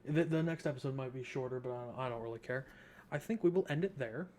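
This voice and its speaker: A man's voice: mid-range voice, quick pace, a little mellow, slight background noise. A man giving informal let's play commentary, thinking aloud as he goes. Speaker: gaming video host.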